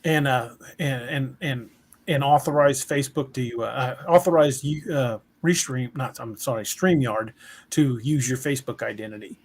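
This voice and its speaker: A man co-hosting a livestream in casual chat, with a slightly husky voice and a halting way of repeating words as he explains. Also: mid-range voice. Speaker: podcast livestream co-host.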